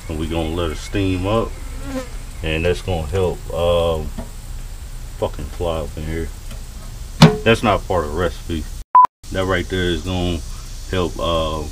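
A man, talking casually to viewers in a laid-back drawl while cooking outdoors at a grill, picked up by a camera mounted above it. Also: low voice. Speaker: home cook vlogger. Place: outdoors at a grill beside a house. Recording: noisy outdoor camera audio.

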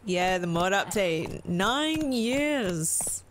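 An Australian woman chatting excitedly and swearing casually as she streams a game. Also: medium pitch, very slow, a little hiss or hum. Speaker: gaming streamer. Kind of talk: casual let's-play chat.

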